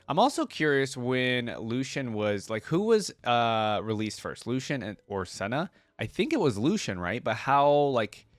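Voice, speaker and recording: A man's voice: on the low side, no echo. A man reading story text aloud and reacting casually in between, as a video creator commenting on it.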